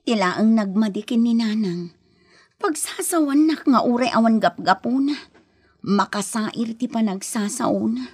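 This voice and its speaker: A put-upon wife and mother in a radio comedy drama. Her voice sits fairly high with a husky edge, and she delivers her lines as plaintive, grumbling complaint. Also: unhurried, darkish tone. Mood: hurt.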